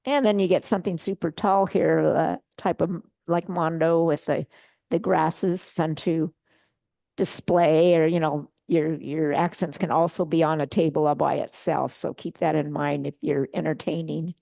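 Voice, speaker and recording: A middle-aged woman giving a relaxed, chatty slide-show talk to a club, with many fillers and restarts. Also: deep voice, normal speed, creaky, no room sound. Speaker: guest presenter at a bonsai club meeting.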